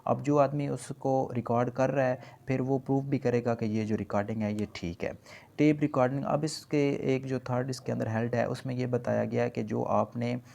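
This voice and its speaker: Male lecturer, Pakistani accent, gravelly and mellow, didactic explainer who restates each point. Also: medium pitch, level tone, moderate pace. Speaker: law lecturer. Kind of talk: explanatory lecture mixing in English legal terms.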